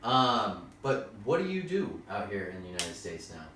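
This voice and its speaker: A man from Maine hosts a podcast with a very deep voice. He asks questions in an upbeat, easygoing way. He sounds far from the mic in a very echoey room. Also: sing-song, normal speed, pushed and bright, slight background noise. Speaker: podcast host. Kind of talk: casual interview chat.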